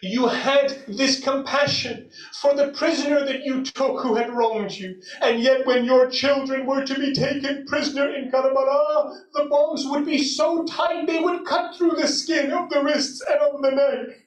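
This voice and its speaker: Male religious lecturer, very high voice, mournful dramatic storytelling, recorded at a distance in an echoing space. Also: steady tone, a little slow. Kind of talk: emotional sermon, retelling a sacred story. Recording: echoey hall, distant microphone.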